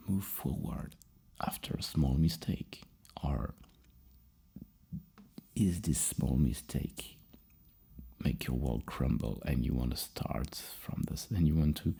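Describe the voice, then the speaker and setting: A man speaking in a soft, mostly whispered ASMR roleplay as a psychologist, breathy and halting, repeating words as he gently reassures. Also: extremely low pitch. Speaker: roleplay host acting as a psychologist.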